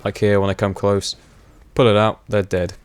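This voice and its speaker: Chatty young man with a low voice. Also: level tone, normal speed, clean tone. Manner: rambling, chatty, laughs often, many fillers. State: voice going hoarse. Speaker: gaming commentator. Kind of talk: casual gameplay commentary.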